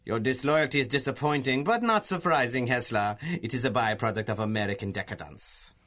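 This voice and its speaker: An actor playing a gloating Nazi professor with a German accent, pompous and mock-courteous in a radio drama style. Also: male voice, medium pitch, fairly quick, fairly bright tone, close to an everyday voice. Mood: gloating menace.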